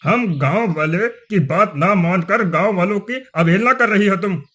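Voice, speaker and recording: A man's voice: high voice, moderate pace, a bit bright. A man voicing a cruel, greedy village headman in a cartoon story, sneering, accusing and blustering. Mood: stern, accusing. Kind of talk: cartoon moral story voice acting.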